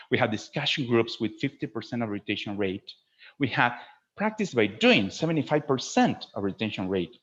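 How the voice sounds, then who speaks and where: A man's voice: medium pitch, highs cut off. A man teaching a webinar to fellow teachers in a didactic way, often checking in with a short questioning tag at the ends of phrases.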